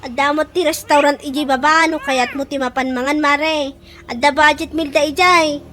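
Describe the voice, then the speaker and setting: Woman acting very high-pitched, indignant scolding friend. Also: fairly even intonation, moderate pace, pushed and bright, a little hiss or hum. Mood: explaining. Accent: Ilocano. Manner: emphatic, scolding. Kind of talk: Ilocano comedy radio drama acting.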